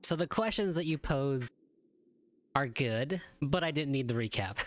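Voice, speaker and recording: A woman's voice: extremely low pitch, animated, measured pace, dry recording. A woman giving casual reaction commentary as she streams a video game. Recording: game music behind the voice. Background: game music.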